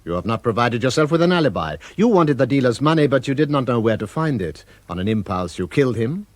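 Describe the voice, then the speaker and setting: An actor playing an urbane, knowing stranger in a radio drama, measured, dryly mocking and coolly probing. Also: male voice, mid-range voice, big pitch swings, dry recording, natural voice. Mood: accusing. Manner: measured, dry, politely mocking.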